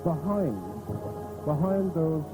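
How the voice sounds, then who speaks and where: A man with an Irish accent giving grave, measured live commentary on a funeral procession in an old radio style, pausing between short phrases. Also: a little high, low-quality recording. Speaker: radio outside-broadcast commentator. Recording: old reel-to-reel radio tape, band music behind. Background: loud marching band music.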